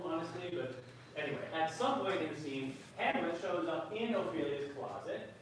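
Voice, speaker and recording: A man's voice: fairly high pitch, fairly bright tone, close to an everyday voice. A man playing a flippant, slangy young drama ringleader who recaps Shakespeare offhandedly in a live staged reading. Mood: casual, organizing. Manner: offhand, flippant, with filler words. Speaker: reader and improv facilitator in a staged play reading. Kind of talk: casual, slangy stage narration. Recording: echoey, noisy room from far off.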